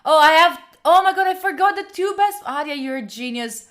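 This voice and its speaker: A woman's voice: high voice, lively intonation, brisk, a bit bright. A young woman with an Italian accent chatting casually on a live stream, rambling and giggling with lots of fillers. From Italy. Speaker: study streamer.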